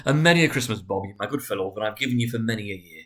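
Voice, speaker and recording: A man voicing an old male boss from a classic storybook, growling and emphatic, with a gruff front over a jovial heart. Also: on the low side, animated, quick pace, a bit bright. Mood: hearty, generous. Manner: emphatic, stern then hearty.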